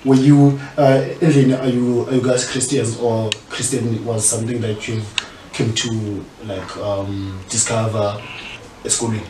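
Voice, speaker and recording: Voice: mid-range voice, steady tone, measured pace, distant mic. South African host in an echoey room. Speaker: talk show host. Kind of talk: casual chat interview, mixing English with local language. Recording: roomy echo, off-mic, faint noise.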